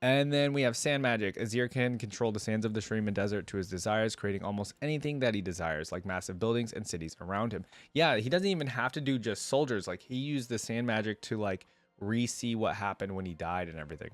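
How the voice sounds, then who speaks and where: A man doing casual, informal reaction commentary, switching between reading aloud and thinking out loud in asides. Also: fairly low pitch, quick pace, no echo. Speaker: reaction video creator.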